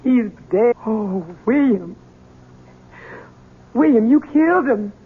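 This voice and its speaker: An old, muffled radio drama recording of a distraught mother in service. She is husky and slow, with dramatic swoops of anguish over her simple son. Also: fairly high pitch, lively intonation, full character voice. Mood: horrified grief. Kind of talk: 1970s radio drama acting.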